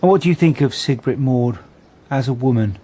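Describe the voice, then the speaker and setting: An actor playing a methodical detective inspector in a radio drama, questioning in a direct, terse way. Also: male voice, medium pitch, expressive, fairly quick, mellow, slightly rough. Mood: probing.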